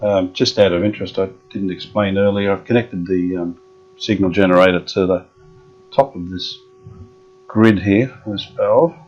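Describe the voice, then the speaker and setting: A low-pitched, slightly husky man works through a radio repair, talking to himself as much as to the viewer. His speech is halting and casual, full of ums and restarts. The recording is dull and lo-fi. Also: a little mellow, soft background music. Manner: thinking aloud, halting with many ums and uhs. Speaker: radio restorer. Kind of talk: hands-on workshop demonstration.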